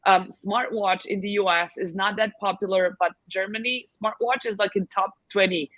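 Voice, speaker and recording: A woman's voice: medium pitch, fairly even intonation, moderate pace, fairly bright tone, no room sound, poor audio. Chatty woman giving advice, dry sound. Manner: chatty, frequent fillers and restarts. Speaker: guest expert on selling abroad. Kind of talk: casual advice in a video call Q&A.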